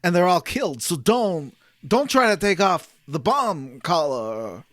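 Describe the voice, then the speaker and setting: A man playing a psychic who speaks in halting, drawn-out bursts. He gravely warns of a deadly vision, with a slightly high, swinging pitch in a dry, close recording. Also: big pitch swings, a bit bright, no room sound. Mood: grave warning.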